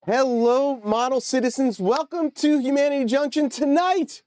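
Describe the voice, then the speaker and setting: A man's voice: very high-pitched, normal speed, darkish tone, clean tone. A man with a congested nose hosting a casual livestream, chatting with viewers and trailing off into fillers. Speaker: livestream host. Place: home model railroad room.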